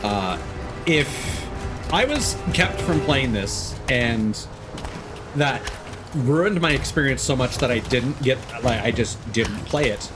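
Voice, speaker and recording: A man's voice: mid-range voice, lively intonation, slow pace. A man doing casual live game commentary, rambling and swearing as he muses aloud. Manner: rambling, profane, musing aloud. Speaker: game streamer. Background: game music.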